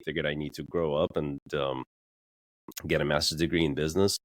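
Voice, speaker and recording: A man's voice: extremely low pitch, little pitch movement, a little fast, no room sound. A man being interviewed as a guest, explaining things plainly and casually with frequent "uh" fillers. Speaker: guest, real estate developer.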